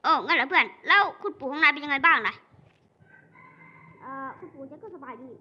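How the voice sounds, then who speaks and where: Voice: sits high, fast talker, bright, forceful tone, lo-fi sound. A child acting out playful, improvised cartoon story dialogue between several characters, switching from one to another. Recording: noisy home recording.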